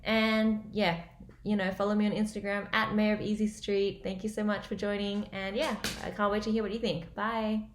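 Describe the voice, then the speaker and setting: A woman's voice: medium pitch, little pitch movement, normal speed, slight background noise. A young woman with an Australian accent, a comedian chatting casually to camera from a small room, loose and full of fillers. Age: young adult. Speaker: comedian vlogger. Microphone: laptop webcam at close range. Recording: roomy, echoey home recording.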